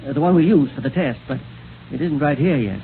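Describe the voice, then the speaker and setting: An actor playing a kindly, excitable inventor in a 1930s radio serial, stammering and fussy. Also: male voice, on the high side, quick pace, gravelly. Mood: earnest, proud. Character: excitable, kindly male scientist-inventor, proud of his gadgets. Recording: scratchy, tinny old radio recording.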